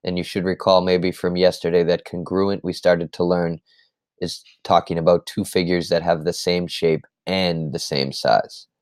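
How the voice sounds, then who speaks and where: Man, very deep voice, slightly hoarse, patient step-by-step teacher, dry recording. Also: flat delivery, normal speed, darkish tone, dry recording. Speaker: math teacher. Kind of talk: screen-recorded lesson recap.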